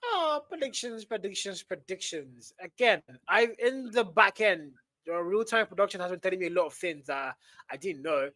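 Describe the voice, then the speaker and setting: A young man casting an esports match, hoarse from losing his voice, joking in rapid-fire banter. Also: sits high, animated, pushed and bright, dry recording. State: hoarse, has lost his voice. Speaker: esports caster. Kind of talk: live esports commentary chat.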